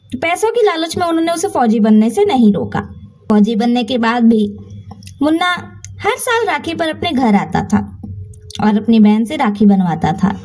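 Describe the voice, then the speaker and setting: A woman narrating a scripted bedtime story in an expressive, earnest way as the host. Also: high voice.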